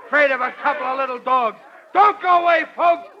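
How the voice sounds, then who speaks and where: A scrappy middle-aged American schemer with a very high-pitched voice and brash street slang. It is old radio comedy acting, on a muffled, hissy vintage recording. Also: male voice, fairly quick. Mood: triumphant. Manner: brash, slangy working-class speech. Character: scrappy, scheming working-class man campaigning loudly.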